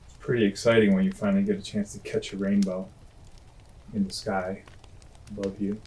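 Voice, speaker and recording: A man hosting a storytelling show, reminiscing casually in a halting, unhurried, rambling way. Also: fairly low pitch, little pitch movement, dark tone, a little hiss or hum, low-quality recording. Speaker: host and storyteller.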